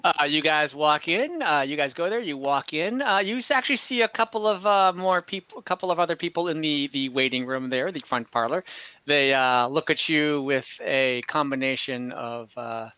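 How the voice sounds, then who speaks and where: A man narrating scenes as game master of an online tabletop game, halting often and restarting with fillers as he describes what happens. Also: on the high side, bright, forceful tone, clean tone, no echo, dull audio.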